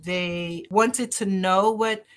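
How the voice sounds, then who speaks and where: A woman's voice: medium pitch, steady tone, extremely slow. A middle-aged woman from Barbados, a doctor talking in an interview, earnest and emphatic, repeating her key phrases. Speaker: doctor and podcast guest. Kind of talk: conversational interview.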